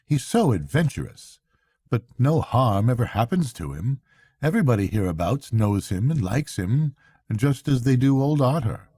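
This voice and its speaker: A man's voice: medium pitch, very animated, normal speed, mellow, breathy edge, dry recording. A man voicing a good-hearted, loyal mole with a plain-spoken, eager manner, as in a bedtime storybook. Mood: light, reassuring. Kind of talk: bedtime storybook character reading.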